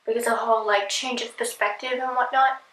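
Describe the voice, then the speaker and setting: A woman hosting a casual reaction video, chatting and giggling, often filling pauses with "like". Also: a little high, fairly even intonation, fairly bright tone, roomy sound, low-quality recording. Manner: chatty, giggly, filler-heavy.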